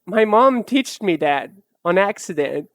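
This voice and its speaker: Slow, soft-spoken young woman streamer. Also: animated, no room sound. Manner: rambling, self-mocking, soft and low. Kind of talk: casual chat while drawing live.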